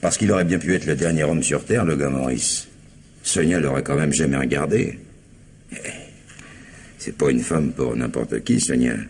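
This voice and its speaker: An actor playing a gruff, streetwise and indignant man in a 1950s-style French radio crime drama, speaking in emphatic slang. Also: very deep voice, normal speed, long pauses, a little mellow. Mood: amazed, musing. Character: gruff male witness with a streetwise, indignant temper. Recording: noisy old radio drama recording.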